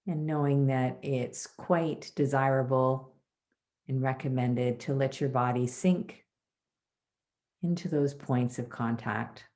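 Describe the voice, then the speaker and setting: A woman leading a guided body scan meditation as a teacher, soothing and measured, with pauses between phrases. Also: extremely low pitch, fairly even intonation, mellow.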